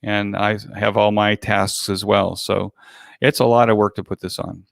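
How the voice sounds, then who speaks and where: An older man talks as a guest in a casual interview, his voice fairly low with a strong creak. He phrases things haltingly, doubling back on words. The sound is close and dry. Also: little pitch movement, a bit bright, no room sound. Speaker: guest, virtual expo organizer.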